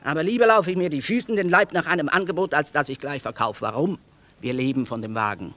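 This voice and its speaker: Tough middle-aged trader mom in a radio drama, blunt haggler, earthy. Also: female voice, sits low, fast, a bit bright. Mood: blunt, bargaining. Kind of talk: German radio play acting.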